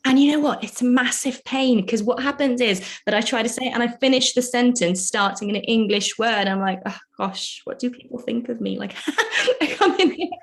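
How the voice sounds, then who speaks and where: A woman's voice: on the high side, moderate pace, gravelly. A young woman from Hungary, a dancer and choreographer, talking casually as a podcast guest and often searching for words with fillers. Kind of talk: casual interview chat.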